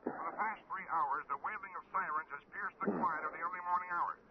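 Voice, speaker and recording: An actor playing a radio newscaster with a crisp, urgent delivery in a radio drama. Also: male voice, high-pitched, fast talker. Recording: muffled, noisy old radio broadcast.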